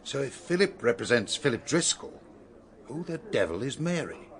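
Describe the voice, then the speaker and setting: An actor as a mid-pitched, blunt British detective. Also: male voice, big pitch swings, normal speed, natural voice. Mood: businesslike. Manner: businesslike, blunt, clipped. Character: brisk, no-nonsense male police detective. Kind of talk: radio drama acting.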